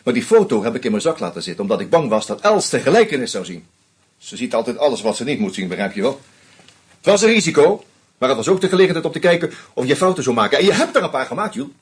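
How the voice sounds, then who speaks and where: An actor playing a shrewd screenwriter who methodically unmasks a killer, with a husky voice and dry irony. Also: male voice, medium pitch, sing-song, quick pace. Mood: accusing. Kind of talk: radio play acting.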